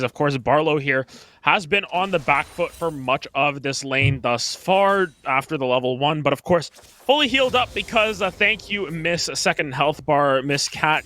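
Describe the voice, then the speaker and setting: A man giving live play-by-play commentary on an esports match, animated, with frequent filler pauses. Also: a little high.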